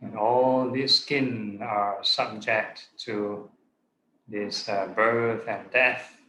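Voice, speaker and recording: A man leads a guided meditation as a monk and teacher, speaking very slowly in short, deliberate phrases. His voice is somewhat low and has a clearly bright edge. It comes through a roomy, echoing recording. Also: fairly even intonation. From Singapore. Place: online video call session in a room. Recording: roomy echo, clear background noise.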